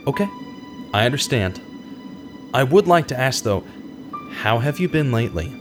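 A man's voice: medium pitch, big pitch swings, normal speed, music in the background, lightly acted. An actor playing a brooding investigator in a radio drama, earnest and emphatic as he talks and narrates. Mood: gentle, probing. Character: brooding, angry male investigator hunting an abuser. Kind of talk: radio drama dialogue and first-person narration.

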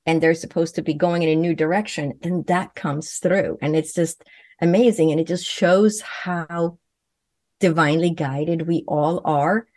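A woman speaks in a low, deep register, earnest and fluent, though she often restarts her phrases. The sound is close and dry, with no room echo. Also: steady tone, measured pace, a little mellow. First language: Swedish. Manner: earnest, fluent with frequent self-corrections. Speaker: guest, medium and physician. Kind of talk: conversational interview answers.

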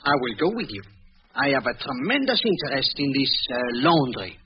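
An actor playing an astute, courtly foreign detective with a French accent in 1940s radio mystery style, precise and politely relentless. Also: male voice, mid-range voice, big pitch swings, a little fast, lightly acted. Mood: sly, probing. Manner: courtly, precise, teasing, peppered with French phrases. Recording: muffled, noisy 1940s radio broadcast.

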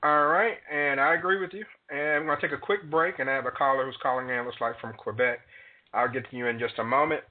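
A man hosts a radio talk show. He speaks calmly and agreeably and reads out sponsor messages. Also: medium pitch, fast talker. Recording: thin phone-line sound.

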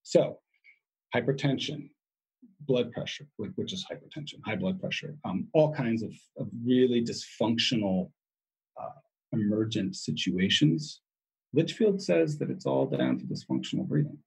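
A man's voice: lowish voice, very animated, moderate pace, darkish tone, slightly rough, rough recording. A man speaking as an interview guest, explaining in an emphatic way and often repeating his key words.

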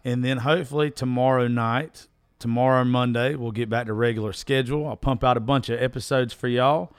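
A Southern US man talks casually as a solo podcast host, with a mid-pitched voice that is strained from overuse yet still fairly clean. He rambles in a folksy, salty-tongued way, recorded close and dry. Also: fairly even intonation, a little fast, smooth, no room sound. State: voice strained from losing it.

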